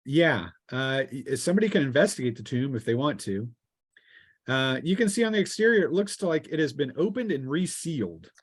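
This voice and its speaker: A man with an average-pitched voice acts as game master at a tabletop session. He describes the action casually, often pausing to think aloud. The sound is dry, with very little room in it. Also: animated, husky, no echo.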